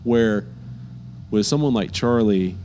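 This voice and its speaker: A man's voice: on the low side, takes it slowly, warm tone, a little hiss or hum. A man hosting a podcast interview, talking in a loose, chatty way with lots of fillers. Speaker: podcast host. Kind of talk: casual interview chat.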